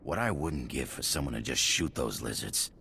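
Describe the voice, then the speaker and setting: A weary colony engineer in a video game, with an extremely low voice. He is plain-spoken, folksy and wry, with faint noise behind him. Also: lively intonation, normal speed, pushed and bright, heavily acted. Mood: weary, fed up.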